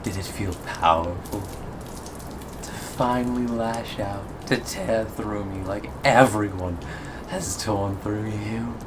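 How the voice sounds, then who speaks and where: A man acting an obsessive, sadistically tender lover in a scripted ASMR roleplay, taunting with breathy chuckles. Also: on the low side, slow pace, noisy background. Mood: thrilled, taunting.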